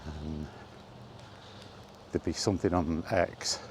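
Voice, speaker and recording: Male gaming vlogger, low dark voice, unhurried and meandering, some outdoor background noise. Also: fairly even intonation. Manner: hesitant, meandering, thinking aloud. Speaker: gaming content creator and podcaster. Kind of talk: casual rambling vlog chat.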